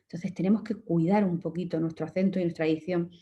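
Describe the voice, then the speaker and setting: A woman with a Murcian Spanish accent lectures in a low, mellow voice, steady and instructive, giving direct advice to listeners. The audio sounds slightly muffled, as from an online session. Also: fast talker. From Murcia, Spain. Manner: calm, instructive, gives direct advice in imperatives. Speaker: communication course instructor. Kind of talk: online lecture to course participants.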